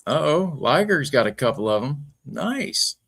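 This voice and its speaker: A man's voice: mid-range voice, very animated, unhurried, vocal fry, fairly bright tone. A man hosting a toy-collecting podcast in laid-back casual chat, swearing freely. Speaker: podcast host.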